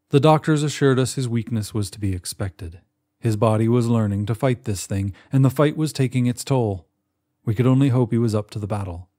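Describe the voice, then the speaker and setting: A man narrating a first-person short story in a measured, expressive way with dramatic pauses. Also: medium pitch. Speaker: audiobook narrator.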